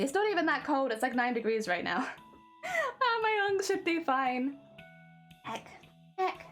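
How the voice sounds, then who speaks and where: A young woman talks casually as she sews on a live stream, rambling through filler words. Her fairly high voice swings up and down a lot, and a slight winter cough roughens it. Quiet music plays underneath. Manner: chatty, rambling, lots of fillers. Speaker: craft streamer. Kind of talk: casual live-stream chat while sewing.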